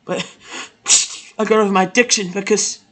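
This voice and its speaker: A man performing a crude, profane, improvised comic anime fandub with halting delivery. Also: high-pitched, fairly even intonation, a bit bright. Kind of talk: comedic improvised anime parody dub.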